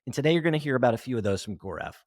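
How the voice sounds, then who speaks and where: A man's voice: mid-range voice, sing-song, racing, a little mellow, no echo, clean studio sound. A man from the East Coast hosting a podcast, introducing his guest and asking upbeat questions. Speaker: podcast host.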